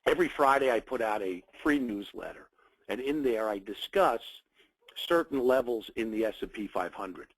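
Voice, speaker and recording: A man's voice: mid-range voice, expressive, normal speed, no echo, poor audio. A man with a cold, sounding a little nasal, hosting a live trading webinar and welcoming viewers in short phrases with plenty of fillers. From United States. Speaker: webinar host, trading educator.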